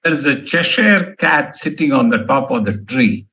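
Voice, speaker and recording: A man's voice: medium pitch, expressive, a little slow, pushed and bright, slight background noise. A male professor from India giving a motivational management lecture, emphatic and fond of repeating key words. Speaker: professor giving a guest talk.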